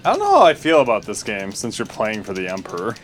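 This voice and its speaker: A man's voice: fairly low pitch, huge pitch swings, fast, faint music behind. A man giving dry, teasing commentary while a friend plays a game, and sometimes reading the mission text aloud. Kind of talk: casual let's-play commentary.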